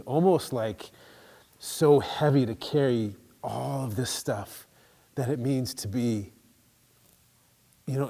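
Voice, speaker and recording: A man's voice: mid-range voice, mellow, long reverb tail. A man leading a group discussion, affirming and paraphrasing what participants say. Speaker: discussion facilitator.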